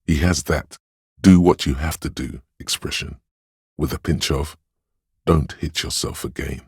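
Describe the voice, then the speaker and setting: A super deep raspy inner voice for a game, solemn n tender, brooding, slow careful wording, really dry sound. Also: male voice, steady tone, no echo, full character voice. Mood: brooding, gentle. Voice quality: deep, husky, gravelly. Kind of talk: scripted video game narration.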